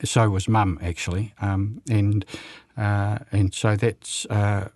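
An older man of about seventy, from New Zealand, talks as a guest on local radio. His voice sits low and the sound is close and dry. He speaks haltingly, full of ums and restarts. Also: level tone, darkish tone, no room sound. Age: around 70. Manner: halting, many fillers and restarts, chuckles. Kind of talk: casual radio interview.

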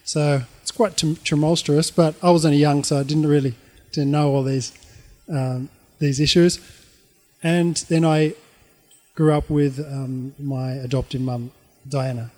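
A middle-aged man with an Australian accent tells his life story informally, in a halting, reflective way. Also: on the high side, fairly even intonation, a little mellow, soft background music.

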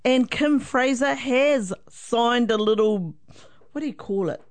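A woman's voice: on the high side, unhurried. A New woman co-hosting a radio show, chatting in a friendly, chuckling way. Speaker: radio co-host.

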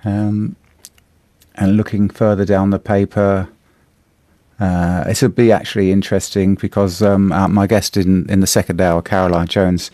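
A middle-aged man with a New Zealand accent, a slightly hoarse radio host chatting through the day's newspaper, halting with many fillers and restarts. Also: low voice, little pitch movement, warm tone, no echo, clean studio sound. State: a bit hoarse. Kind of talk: casual radio chat reviewing the newspaper.

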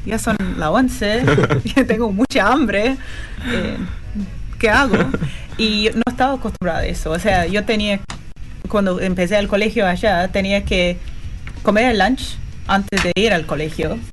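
A woman guest on a radio show, chatting casually and telling a story in an animated way, laughing often. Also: mid-range voice, moderate pace, background noise. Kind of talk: casual chat.

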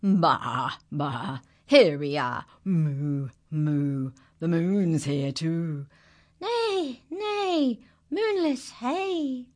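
A woman voicing a chorus of farm animals singing loud sing-song chants in a storybook reading. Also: very high-pitched, expressive, drawn-out words, voice pushed up, exaggerated voice. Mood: playful.

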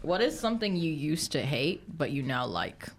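Radio co-host: young female, lowish lively voice, casual teasing banter, slight noise and clicks. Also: normal speed.

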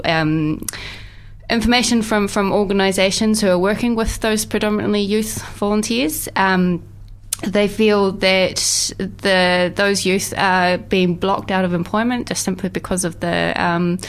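Female interview guest, slightly low voice, informative and careful in phrasing. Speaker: interview guest from a volunteer organisation.

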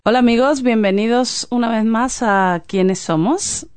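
A woman's voice: fairly high pitch, unhurried, darkish tone, smooth. A woman hosting a community radio interview, chatty and curious in her questions. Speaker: radio host.

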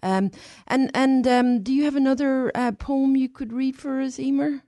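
A woman hosting a radio interview, asking questions haltingly with many restarts and fillers. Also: sits high, fairly even intonation, dark tone, dry recording, clean studio sound. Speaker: radio host.

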